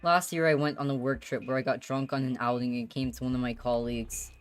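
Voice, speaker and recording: A guilt-ridden, exasperated divorced father voiced by a woman narrates his confessions in a visual novel. The voice is low and dramatic, with faint music underneath. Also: fairly even intonation, fast talker. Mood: regretful.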